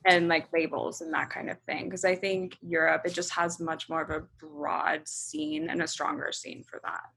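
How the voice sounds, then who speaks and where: A woman in her thirties who grew up in Hong Kong, a musician answering interview questions in a relaxed way, thinking aloud with frequent ums and likes. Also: on the low side, moderate pace, gravelly, hardly any pauses, a little hiss or hum.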